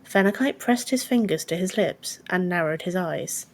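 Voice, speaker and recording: A woman's voice: on the low side, expressive, moderate pace. A woman reading scripted fiction as an audiobook narrator.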